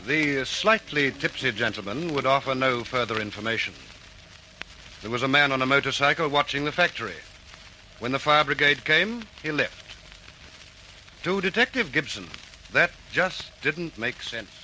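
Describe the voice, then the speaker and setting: A man narrating a scripted crime drama in a formal, deliberate storytelling manner. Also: mid-range voice, expressive, bright, forceful tone, a little hiss or hum, rough recording. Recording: narration over prominent music.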